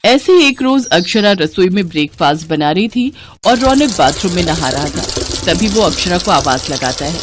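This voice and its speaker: Low-voiced woman narrating a story. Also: sing-song, moderate pace, background noise.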